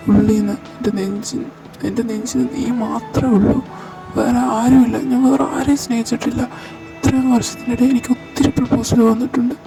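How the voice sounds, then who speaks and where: A woman voicing an intense, possessive young man who confesses his love in a soft, halting voice. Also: on the high side, natural voice. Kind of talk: amateur audio drama. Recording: distant mic over clear music.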